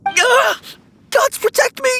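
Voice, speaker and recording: An actor playing a timid, polite young rookie undertaker in a video game, formal and easily frightened. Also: male voice, extremely high pitch, slow, vocal fry, heavy background noise, exaggerated voice. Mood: panicked. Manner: formal, stammering when scared, apologetic. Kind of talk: animated game voice acting.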